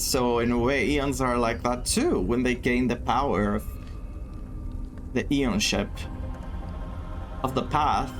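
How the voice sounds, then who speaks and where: A man playing through a game story and thinking aloud, excited and chatty, in a room indoors. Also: mid-range voice. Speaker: gaming streamer. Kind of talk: let's-play commentary. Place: indoor room with air conditioning. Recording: voice over game music.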